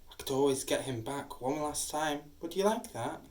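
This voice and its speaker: A woman's voice: low voice, normal speed, darkish tone, reverberant, natural voice. A woman playing a cruel, taunting ancient male demon in an audio drama, with menacing, theatrical delivery.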